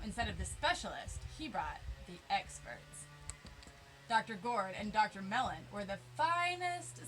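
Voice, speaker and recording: A woman reading a children's picture book aloud, expressive and emphatic, drawing out words for effect. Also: on the high side, low-quality recording.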